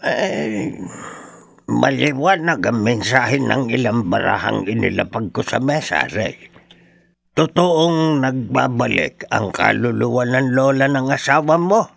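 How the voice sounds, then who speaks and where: A man playing a mysterious, self-assured faith healer who reads cards in a radio drama, solemn and measured. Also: mid-range voice, expressive, vocal fry, a bit bright, no echo, studio quality, exaggerated voice. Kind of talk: horror radio drama acting.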